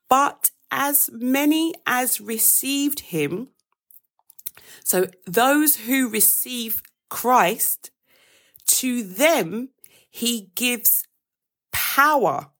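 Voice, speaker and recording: A woman with a croaky voice hosting a live daily devotional, praying and then teaching a Bible verse in a casual, chatty way. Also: a little high, a bit bright. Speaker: devotional host.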